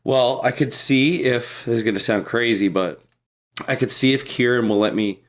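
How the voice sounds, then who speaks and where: A man's voice: mid-range voice, normal speed. A young man co-hosting a podcast in casual chat, swearing freely and venting in a blunt, exasperated way. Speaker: podcast co-host.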